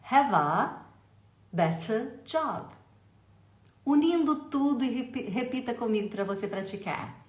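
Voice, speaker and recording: Brazilian lady teaching english, lively, slows way down on drill phrases, mid pitch, echoey room. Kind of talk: pronunciation lesson.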